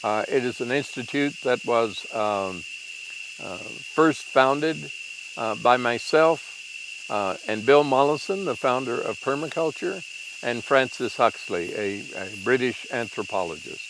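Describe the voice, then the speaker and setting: Slow, halting older man instructor. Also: medium pitch, animated, background noise. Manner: slow, halting, many uh pauses. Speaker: permaculture instructor. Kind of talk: interview to camera.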